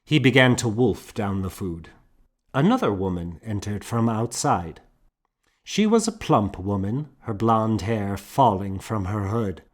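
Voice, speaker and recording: A man's voice: fairly low pitch, lively intonation, unhurried. A man reading a historical novel as scripted audiobook narration.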